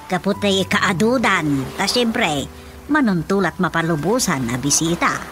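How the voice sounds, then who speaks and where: A woman's voice: fairly low pitch, sing-song, measured pace, fairly bright tone, faint music behind. A woman playing a chatty, fretful wife in an Ilocano radio comedy drama, with an Ilocano accent. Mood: concerned.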